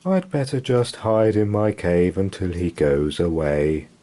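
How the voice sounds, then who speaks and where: A man voicing a gentle, lonely, friendly storybook dragon with a mild, unhurried manner. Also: low voice, animated. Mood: worried.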